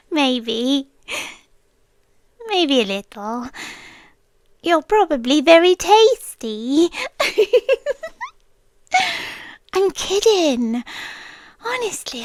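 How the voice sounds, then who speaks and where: A woman's voice: sits high, no room sound, full character voice. A British woman playing a playful, tender, possessive young forest monster girl in an ASMR roleplay, teasing and intimate, often slipping toward a whisper.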